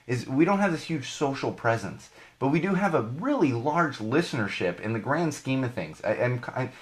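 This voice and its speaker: A man hosting a podcast live, slightly hoarse from losing his voice, talking in a chatty, rambling way and correcting himself as he goes. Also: medium pitch, expressive, normal speed. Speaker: podcast host. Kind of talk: live podcast monologue with plugs.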